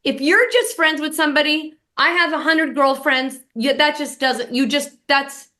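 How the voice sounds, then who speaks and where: A middle-aged woman from Northern California hosts a podcast in a casual, animated chat. She talks with emphatic bursts and swears freely. Her voice is bright and sits a little high. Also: moderate pace. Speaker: podcast host.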